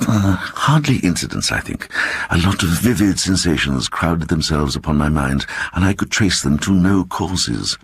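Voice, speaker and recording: An actor voicing a timid little man who earnestly and softly recounts an eerie adventure in a dramatic audiobook reading. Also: low voice, normal speed, breathy edge, dry recording, heavily acted. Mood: wondering. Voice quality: soft voice. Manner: hesitant, earnest, growing excited.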